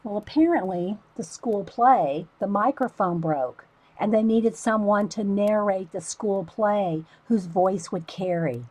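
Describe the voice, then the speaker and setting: Loud chatty woman, dry close sound. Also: medium pitch, a little slow, no room sound, low-quality recording. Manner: rambling, talks to chat viewers by name. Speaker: livestream host coloring for viewers. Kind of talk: casual chatty tutorial.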